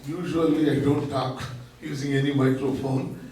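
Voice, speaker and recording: A man's voice: medium pitch, flat delivery, normal speed. A man lectures in a big, teacherly voice, asking questions and then answering them himself. He sounds far from the mic in a very echoey room with faint noise behind him. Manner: loud, teacherly, explains with rhetorical questions. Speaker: guest speaker, learning center founder. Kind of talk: public talk to an audience. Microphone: microphone at a distance.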